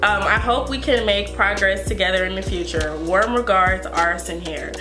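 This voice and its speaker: A woman's voice: medium pitch, normal speed. A woman beauty vlogger with a stuffy, congested voice from a cold, chatting casually to viewers and filling her talk with "like" and "um". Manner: chatty, filler-heavy, addresses viewers as "you guys". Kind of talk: casual wig review chat. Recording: echoey room with background music.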